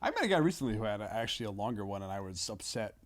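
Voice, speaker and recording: A man chatting casually as a comedian guest on a podcast, joking in a halting, repetitive way. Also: fairly low pitch, very animated. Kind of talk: casual comic chat.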